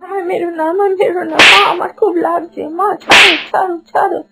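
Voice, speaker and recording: A woman voicing a tearful young daughter in an animated fairy tale, whining and pleading. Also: very high-pitched, fast, gravelly, heavy background noise. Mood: frightened pleading.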